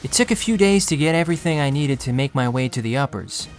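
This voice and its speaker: A man acting the narrator of an audio drama, an earnest, self-doubting young man from an undercity slum, speaking in a halting, confessional, emotional way. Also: mid-range voice, lively intonation, natural voice. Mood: weary narration. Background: music bed.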